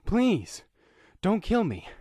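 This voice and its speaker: Man acting out a panicky high-pitched thug begging. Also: huge pitch swings, takes it slowly, warm tone, no echo, studio quality, full character voice. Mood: frightened pleading. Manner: pleading, panicky. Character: frightened male kidnapper pleading for his life.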